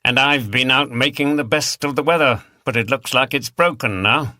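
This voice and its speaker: Man voicing an easygoing, bright-voiced country local. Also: medium pitch, moderate pace, dry recording, studio quality, pitched above the performer's own voice. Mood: chatty. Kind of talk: audiobook character dialogue.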